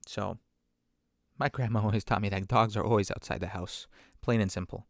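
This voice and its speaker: A man speaks in a casual podcast monologue, wandering from point to point with plenty of asides. His voice sits on the low side and has a gravelly, fry-tinged texture. The sound is dry, with no room in it. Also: steady tone, a little fast, dry recording. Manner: rambling, self-deprecating; conversational, many fillers and asides.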